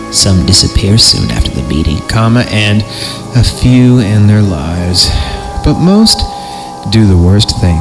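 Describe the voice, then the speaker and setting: Low-voiced man reading horror stories wryly. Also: low-quality recording. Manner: wry, breaks off into joking asides. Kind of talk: comedic dramatic reading of creepypasta. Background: music.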